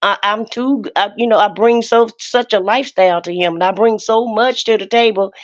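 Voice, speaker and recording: A woman's voice: medium pitch, a little fast. An American woman chatting casually and giving opinions in colloquial, emphatic speech, often repeating her phrases. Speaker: panelist on a live stream. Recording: dull lo-fi stream audio.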